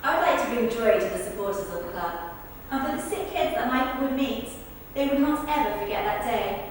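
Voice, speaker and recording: Woman acting earnest storyteller, stage monologue, high-pitched, distant in a reverberant hall. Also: expressive, fairly quick, natural voice. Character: people recounting a football club's celebrity visit. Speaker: performer. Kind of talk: verbatim theatre monologues read in rehearsal. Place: large reverberant rehearsal room. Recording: echoey hall, distant mic, faint noise.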